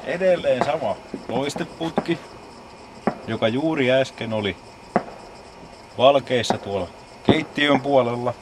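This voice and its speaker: A man giving a casual, deadpan, step-by-step demonstration as an amateur video maker. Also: long pauses. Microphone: camcorder microphone. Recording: noisy low-quality old camcorder audio.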